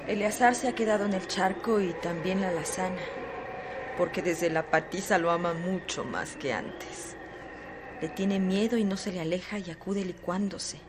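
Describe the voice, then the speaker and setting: A woman's voice: fairly low pitch, normal speed. A woman giving dramatic scripted narration for a radio drama. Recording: noisy background ambience.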